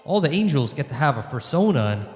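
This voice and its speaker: A man's voice: on the high side, sing-song, fast, big-room sound, faint music behind. A man playing an eager, fussy, simple-minded angel in a comedic radio play, flustered and fawning.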